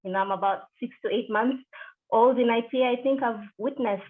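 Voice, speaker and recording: A middle-aged woman from Kenya, interviewed over a video call, speaking in a measured way with frequent fillers and restarts. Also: a little high. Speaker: interviewee, deputy country director. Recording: tinny call audio.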